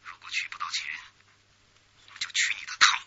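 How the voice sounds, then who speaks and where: Menacing gangster in harsh, noisy sound. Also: male voice, a little fast. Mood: menacing, taunting. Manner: sneering, threatening, hissed breathy delivery. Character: menacing male gangster, taunting, brazen killer on the run. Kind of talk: radio drama acting. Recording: dull, very noisy phone-call sound.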